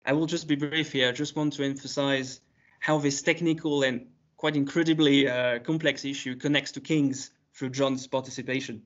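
Male conference speaker, measured read-aloud paper, mid pitch, slightly muffled video call. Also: steady tone, a bit bright. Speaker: conference presenter. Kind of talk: reading a prepared academic paper.

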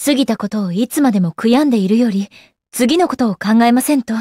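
A woman's voice: on the high side, fast talker, mellow, no room sound, clean studio sound, lightly acted. An actress playing a proud, composed young goddess warrior in a game, with refined, ladylike and formal speech. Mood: poised. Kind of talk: Japanese anime game character acting. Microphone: close dry studio microphone.

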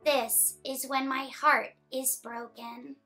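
High, bright young woman reading to children. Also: takes it slowly, faint music behind. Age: young adult. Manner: animated, expressive storytelling to young children. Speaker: children's story time host. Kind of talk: picture book read-aloud for children.

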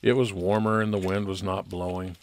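A man's voice: sits low, little pitch movement, moderate pace, vocal fry. A man narrating a scripted first-person private investigator story in old-time radio detective style.

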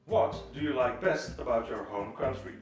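A man's voice: medium pitch, lively intonation, normal speed. A man, casually asking questions from behind the camera. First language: Dutch. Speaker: off-camera interviewer. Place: living room, behind the camera. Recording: echoey room, prominent music. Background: music.

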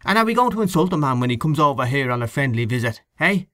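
A man voicing a loud, blustering canvasser who argues with forceful emphasis, in an audiobook reading. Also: mid-range voice, very animated, fast talker, no room sound, voice pushed up. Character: blustering middle-aged Irish political canvasser. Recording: dry close studio read.

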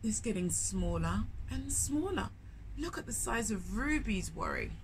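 A woman in her thirties reading a picture book aloud to young children, with expressive drawn-out emphasis and questions put to the listener. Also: mid-range voice, slight background noise, rough recording. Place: indoors in a bookshop, in front of shelves.

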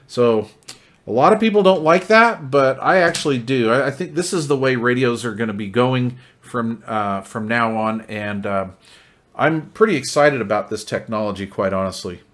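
A man's voice: mid-range voice, expressive, moderate pace, fairly bright tone. An American man giving a casual hands-on product review in a folksy, conversational, enthusiast's manner. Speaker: radio reviewer.

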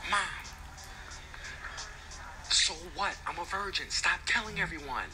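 A man's voice: sits high, animated, a little slow, background noise. A young man putting on a staged phone conversation. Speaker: prankster on a fake phone call.

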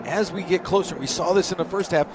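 A man giving color analysis of a football game, conversational and halting, often repeating words as he thinks. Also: high-pitched. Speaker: color commentator. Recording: broadcast with crowd noise behind.

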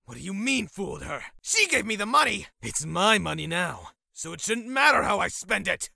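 A man playing a brash, sleazy swindler in his late thirties in a manga dub, loud and cocky. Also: sits high, sing-song, normal speed, gravelly, no room sound, clean studio sound, full character voice. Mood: cocky, boastful. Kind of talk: manga dub character acting.

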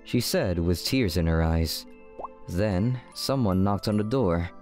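A man's voice: low voice, expressive, slow pace, over loud music. A man as a casual teenage schoolboy narrator. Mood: deadpan, narrating. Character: guarded, deadpan teenage schoolboy narrating his story. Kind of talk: scripted first-person manga dub narration.

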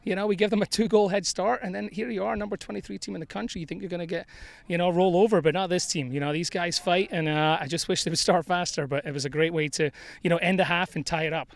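A man's voice: high voice, fairly even intonation, quick pace, gravelly. A middle-aged man, a head soccer coach, giving a candid, conversational postgame interview outdoors on a field into a handheld microphone, with plenty of fillers. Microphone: handheld mic held by interviewer.

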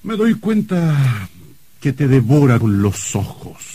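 A flustered, suspicious husband in a radio drama. He speaks slowly and theatrically with wide swings of pitch, and tends to stammer. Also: sing-song, mellow, slightly rough, heavily acted. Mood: jealous, indignant. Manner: theatrical, stammering when startled. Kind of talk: old radio drama acting.

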